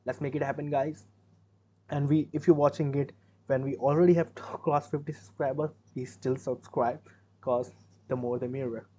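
A man's voice: medium pitch, level tone, normal speed, mellow, lo-fi sound, slight background noise. Chatty Indian man reacting to music. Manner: rambling, self-correcting, chatty. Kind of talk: casual reaction commentary. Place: small room indoors.

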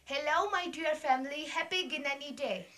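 A woman's voice: high voice, normal speed, heavy background noise, lightly acted. A student playing a kind village mother in a school drama, instructing her family. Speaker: student actor.

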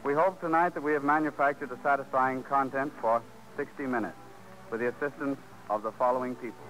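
Male announcer over loud music. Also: medium pitch, fairly even intonation, quick pace, poor audio. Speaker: radio announcer. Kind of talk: 1930s radio announcing. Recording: old radio recording.

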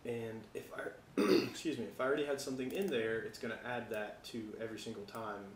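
An American man giving a casual software tutorial, talking informally and thinking aloud as he works. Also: medium pitch, brisk, echoey room, a little hiss or hum. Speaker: tutorial presenter.

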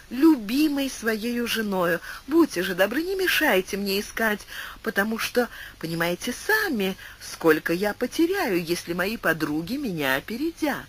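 A man voicing a busy Syrian slave woman in a storybook, earnest and hurried. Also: very high voice, fairly bright tone, slightly rough, pitched above the performer's own voice, full character voice. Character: busy female Syrian slave searching a meadow.

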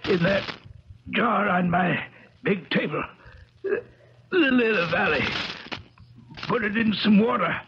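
An actor playing an ailing, gruff old mountain uncle in a radio drama, short of breath and halting. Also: male voice, sits high, very animated, heavily acted. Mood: weak, resigned. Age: older. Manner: halting, broken phrases. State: breathless, weak. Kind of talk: 1970s radio drama acting. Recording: noisy, muffled 1970s radio recording with crackle.

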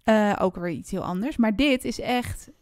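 A woman's voice: medium pitch, moderate pace, a little mellow, no room sound, studio quality. A woman co-hosting a podcast in a casual book chat. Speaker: podcast co-host.

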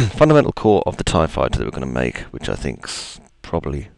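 Man, low gravelly voice, chatty tutorial host, self-deprecating, dry close recording. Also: huge pitch swings, brisk, no room sound. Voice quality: gravelly, deep voice. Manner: chatty, self-deprecating, thinks aloud with ums. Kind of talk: screen-recorded software tutorial.

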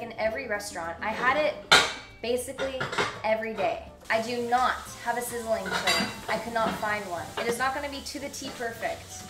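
A woman's voice: fairly high pitch, far from the mic. A young woman hosting a casual cooking stream in her home kitchen, chatting and giggling to her viewers. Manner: chatty, giggly, fast rambling asides. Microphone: room mic from a fixed camera. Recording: echoey kitchen with music behind. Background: background music.

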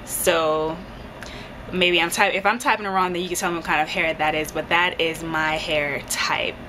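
Low-voiced woman chatting on camera. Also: normal speed. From Jamaica. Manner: chatty, hesitant with many ums, light laughs. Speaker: beauty vlogger answering viewer questions. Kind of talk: casual Q&A chat. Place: indoors, bedroom in front of a headboard. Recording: steady background hiss.

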